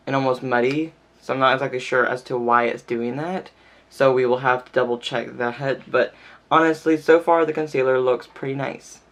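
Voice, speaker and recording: Brief: male beauty vlogger, mid-pitched, chatty and casual, slightly stuffy nose. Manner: chatty, fills speech with "like". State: stuffy, nasal from sneezing. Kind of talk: casual makeup tutorial talk.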